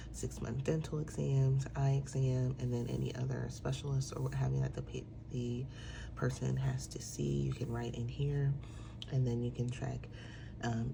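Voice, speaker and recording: A woman with a gravelly, creaky voice, a little stuffed up and nasal from congestion. She walks through planner pages in a relaxed, chatty way, dropping frequent ums. A clear hiss of background noise sits under the recording. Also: very deep voice. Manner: relaxed explaining with frequent fillers. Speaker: planner shop owner. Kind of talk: casual product walkthrough.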